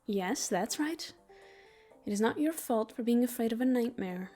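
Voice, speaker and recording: Soft, mellow demon comforter voiced by a woman. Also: on the high side, lively intonation, faint music behind. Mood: soothing. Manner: soothing, unhurried, intimate, partly whispered. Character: gentle, protective, teasing female sleep paralysis demon comforting a human. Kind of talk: ASMR comfort roleplay.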